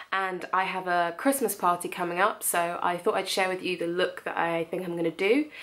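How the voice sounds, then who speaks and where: A woman's voice: on the low side, a bit bright. Young woman, beauty vlogger, mild cold, nasal, casual tutorial chat. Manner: chatty, friendly, lots of ums. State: has a cold, slightly nasal.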